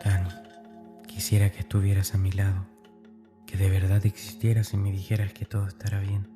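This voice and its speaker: A man's voice: sits low, completely flat delivery, brisk, over music, lightly acted. An actor playing a tormented, fearful schoolboy, narrating his diary in a confiding, anguished, dramatic way. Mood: subdued, confiding.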